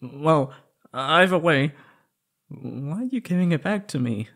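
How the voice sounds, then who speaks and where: A man's voice: fairly high pitch, expressive, measured pace, fairly bright tone, exaggerated voice. A man playing a timid, frightened young male captive in an ASMR roleplay drama, stammering nervously and hesitating. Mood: hesitant, uneasy. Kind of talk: ASMR roleplay audio drama, intimate monologue.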